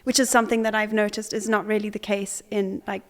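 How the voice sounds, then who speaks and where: A young woman from Zimbabwe, an artist, answering interview questions in a relaxed way with many pauses, fillers and restarts. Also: medium pitch. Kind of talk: conversational interview.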